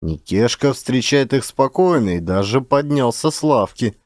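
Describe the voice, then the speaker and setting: A man's voice: mid-range voice, expressive, moderate pace, a little mellow, no room sound, studio quality. A man narrating a literary story in a dramatic, scripted style. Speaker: audiobook narrator, actor.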